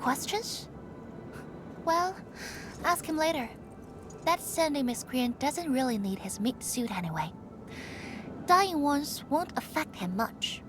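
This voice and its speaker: A woman's voice: high voice, animated, takes it slowly, pauses often, background noise. A woman playing a brusque, exasperated game heroine who scolds while hiding her concern. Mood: dismissive. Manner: haughty, exasperated, sighing. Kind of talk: video game voice acting.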